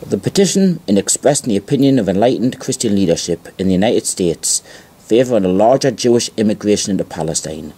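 A man giving an informal show-and-tell narration, reading aloud deliberately and repeating phrases for emphasis, on an amateur home recording. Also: lowish voice, animated, warm tone, slightly rough, rough recording. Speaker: amateur video presenter.

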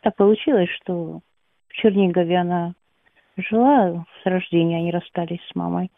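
A woman's voice: on the low side, moderate pace, gravelly, no echo. Woman w/ on a tinny phone call, dry sound, just talking unscripted. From Donetsk, eastern Ukraine. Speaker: caller, schoolteacher of Russian. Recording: tinny narrowband phone line.

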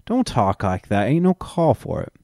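A man voicing a calm, unhurried county sheriff with a drawl who speaks in a placating way. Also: mid-range voice, dry recording, studio quality, pitched above the performer's own voice.

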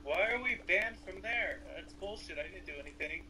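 An excitable, teasing player bantering casually with friends during a video game. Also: mid-range voice, moderate pace, faint music behind, low-quality recording. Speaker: player in a group gaming video.

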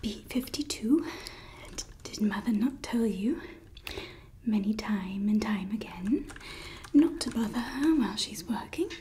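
A woman's voice: mid-range voice, animated, measured pace, warm tone, slight background noise, close to an everyday voice. A young woman playing a grandiose evil woman scientist in an ASMR roleplay, whispering theatrically into a close mic in a small room. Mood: exasperated. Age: young adult. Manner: whispered, theatrical, self-important. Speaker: ASMR creator. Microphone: close mic at face level.

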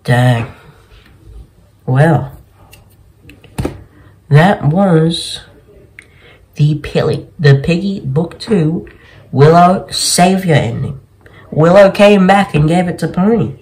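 A man's voice: on the high side, expressive, slow, pauses often, darkish tone, a little hiss or hum. A young male gaming host in casual commentary, with a laid-back, slangy way of talking. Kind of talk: casual gameplay commentary, reading cutscene dialogue aloud. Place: small bedroom, indoors. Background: game music.

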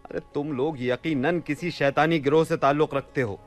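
An actor playing a bewildered young merchant taken for a caliph, with blustering, theatrical delivery in a radio play. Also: male voice, fairly high pitch, steady tone, normal speed, faint music behind, close to an everyday voice. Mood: agitated. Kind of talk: radio play acting in theatrical Urdu-flavoured dialogue.

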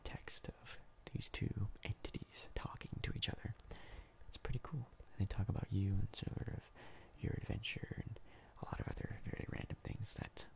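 Casting: young male ASMR gamer, hushed whisper with heavy vocal fry, laid-back rambling delivery. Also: lowish voice, fairly even intonation, moderate pace. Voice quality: breathy, whispered, crackly. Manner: casual, rambling, hesitant. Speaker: ASMR gaming YouTuber. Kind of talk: soft-spoken ASMR game commentary.

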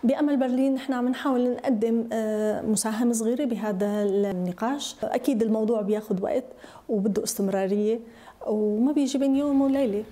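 Fast-talking Syrian woman, mellow voice. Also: on the high side. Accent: Syrian Arabic. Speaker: journalist. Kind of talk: documentary interview narration.

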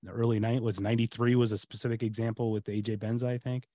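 A man's voice: medium pitch, flat delivery, very fast, dark tone, dry recording. Chatty American man hosting a video-call podcast. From United States. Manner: rambling, many restarts and fillers. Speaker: podcast host. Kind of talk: casual interview chat. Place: home room, video call.

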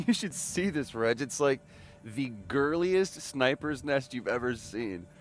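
An actor playing a cocky, wisecracking young American rebel in a video game cutscene, sarcastic and slangy. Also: male voice, mid-range voice, lively intonation, unhurried, faint music behind, close to an everyday voice. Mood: amused, wry. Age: young adult.

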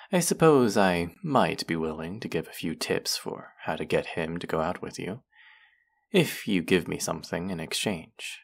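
A man's voice: sits low, full character voice. A man playing a condescending, teasing young twin brother in an audio roleplay, with a mocking, drawling delivery aimed at the listener. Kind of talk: scripted roleplay addressed to listener.

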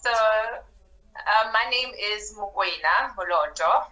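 A woman in her twenties speaks slowly, with a dry, self-deprecating humor. Her voice is bright, and she is speaking over a video-call mic. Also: medium pitch, poor audio. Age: 20s. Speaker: guest. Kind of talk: casual video-call introduction. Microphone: laptop or phone on video call.

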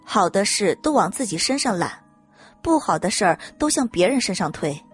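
A woman's voice: mid-range voice, big pitch swings, normal speed. A woman reading scripted audiobook narration as the storyteller. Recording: music under the narration.

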